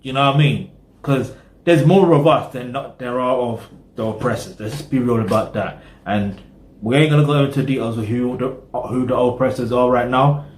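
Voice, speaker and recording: Casual man, reaction vlogger, rambling talk, mid-pitched, roomy echo. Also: moderate pace. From Britain. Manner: chatty and rambling, full of "you know what I mean".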